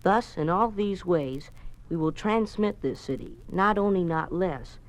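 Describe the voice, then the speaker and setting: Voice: medium pitch, unhurried, natural voice. An actor with an American accent playing an earnest, naive young schoolboy in a 1950s radio drama. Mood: solemn reciting. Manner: eager, naive, polite. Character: earnest, eager young schoolboy, a Boy Scout and obedient son.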